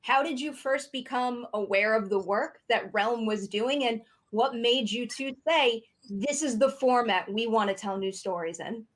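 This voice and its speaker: A woman's voice: on the high side, measured pace, hardly any pauses. A woman moderating a panel, interviewing guests in an upbeat style.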